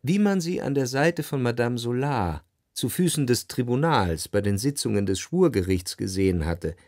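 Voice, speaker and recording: A man narrating a literary radio play in the first person, measured and composed, in a dry studio. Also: mid-range voice, expressive, darkish tone, dry recording, natural voice. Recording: dry close studio sound.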